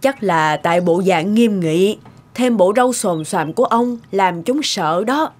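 A woman's voice: medium pitch, animated, a little slow, darkish tone. A woman playing a courteous, composed lady in a cartoon. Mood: friendly. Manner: polite. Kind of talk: animated cartoon dialogue. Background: music.

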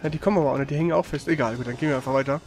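High-voiced slangy man chatting while gaming. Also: racing, darkish tone, faint music behind. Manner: colloquial, slangy, swearing. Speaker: gaming streamer playing and chatting. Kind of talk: casual chat and live game commentary.

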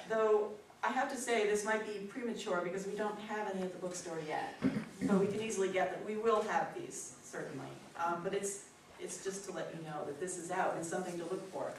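An older woman hosting an event, making informal announcements to an audience in a hesitant, halting way. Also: on the low side, recorded from a distance. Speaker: event host introducing a speaker. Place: indoor meeting room. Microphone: distant camera microphone. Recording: echoey, noisy room recorded from afar.

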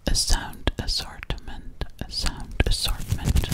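A young woman whispering ASMR up close to the mic, hushed, often repeating words. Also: slow. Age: young adult. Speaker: ASMR creator. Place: small bedroom, indoors. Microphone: close mic near the camera, touched at times.

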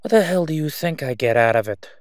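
A man acts out a middle-aged crime boss for an audio drama, sly and self-assured. He draws out his words with mocking condescension, smooth-talking his way along. The sound is close and dry. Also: fairly high pitch, lively intonation, no echo, lightly acted. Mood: exasperated, forceful. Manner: sarcastic, smooth-talking, drawn-out condescending delivery. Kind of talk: roleplay audio drama monologue.

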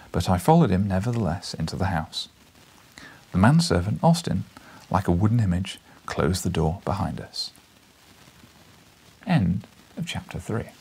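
Gravelly, low-voiced British man reading expressively. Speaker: audiobook reader. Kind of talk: expressive story narration and casual address. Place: small book-lined living room, indoors.

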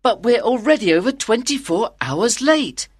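Woman playing anxious, husky Passepartout. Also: fairly high pitch, animated, normal speed, no echo. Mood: worried. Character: Passepartout, anxious guilt-ridden male servant. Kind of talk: dramatized audiobook dialogue.

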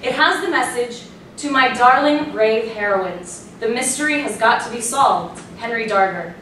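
A woman's voice: on the high side, moderate pace, fairly bright tone. A woman reading scripted stage directions as narrator at a staged play reading. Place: small theatre, recorded from audience. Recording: very echoey room, distant mic, faint noise.

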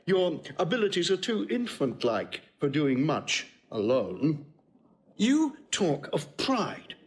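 An actor playing a witty, sardonic Roman patrician, teasing with hesitant mock-politeness in Shakespearean comic style. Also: male voice, fairly high pitch, huge pitch swings, slow, heavily acted. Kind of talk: classical Shakespearean comic acting.

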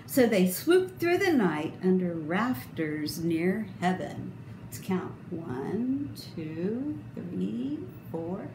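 Middle-aged female storybook reader, sing-song and very animated, unhurried. Roomy, noisy indoor recording. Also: fairly low pitch. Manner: theatrical, emphatic, sing-song delivery. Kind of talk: expressive children's read-aloud. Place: indoor room. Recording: roomy echo, clear background noise.

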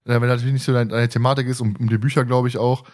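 Fast-talking male podcast host. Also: mid-range voice, level tone. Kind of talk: casual podcast chat.

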